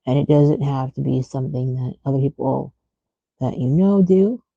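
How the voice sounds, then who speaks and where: A woman's voice: rumbling low, moderate pace, vocal fry, husky, no echo. A woman chatting casually on a livestream in an informal, rambling way. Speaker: streamer, host. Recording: muffled lo-fi mic with clicking.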